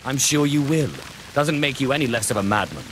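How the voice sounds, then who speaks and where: An actor playing a cold, sardonic Sith lord in a video game, terse and commanding. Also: male voice, mid-range voice, lively intonation, brisk, noisy background, natural voice. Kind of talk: video game hero dialogue.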